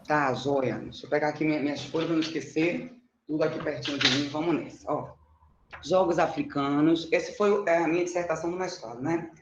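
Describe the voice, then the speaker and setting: A woman's voice: low voice, fairly even intonation, brisk, background noise. Echoey dull call audio, middle-aged woman, Bahian accent, chatty, self-deprecating, informal teacher presentation. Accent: Bahian (Salvador) Brazilian Portuguese. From Salvador, Bahia, Brazil. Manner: chatty, self-deprecating, often seeking confirmation. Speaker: mathematics teacher presenting research. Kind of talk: informal online oral presentation. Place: indoors, on an online conferencing platform. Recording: muffled, roomy online call audio.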